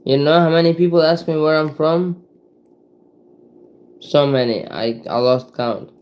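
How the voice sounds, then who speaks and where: A young man from Ukraine with a Ukrainian accent, chatting casually to viewers on a live stream, rambling with halting restarts and lots of "you know". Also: fairly high pitch, darkish tone. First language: Ukrainian and Russian.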